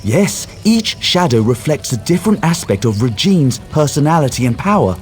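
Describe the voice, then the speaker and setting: A man's voice: fairly high pitch, sing-song, moderate pace, soft background music. An actor voicing a cautious, scholarly male mage in a video game, speaking formally and precisely. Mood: thoughtful, explanatory. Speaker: quest-giving character.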